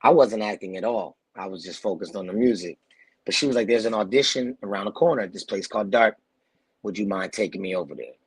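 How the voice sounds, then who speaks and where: A man's voice: lowish voice, fast talker, breathy edge, no echo, poor audio. A man from New Jersey, in his thirties, telling stories in a laid-back, slangy way on a video call interview. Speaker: guest actor. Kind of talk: casual storytelling interview.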